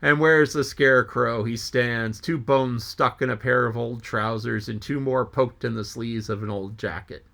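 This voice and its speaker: A man's voice: medium pitch, fairly even intonation, moderate pace, creaky, few pauses, fairly bright tone. A man reading aloud as a jolly, joking sea mate who rambles and exclaims to himself. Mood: musing.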